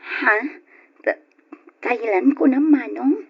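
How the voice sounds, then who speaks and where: A woman's voice: high-pitched, takes it slowly, vocal fry, husky, exaggerated voice. A woman playing an affectionate young student, teasing and dutiful, in an old-style radio drama on a dull lo-fi recording. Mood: respectful, hesitant.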